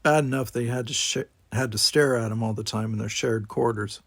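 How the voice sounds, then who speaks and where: A man's voice: medium pitch, fairly even intonation, brisk, creaky, dry recording. A man reading a story aloud as scripted audiobook narration. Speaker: audiobook reader.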